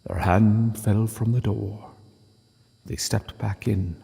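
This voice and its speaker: A man reading a literary story as narrator, solemn and deliberate, with dramatic pauses. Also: fairly low pitch, fairly even intonation, creaky, warm tone, slightly rough, big-room sound.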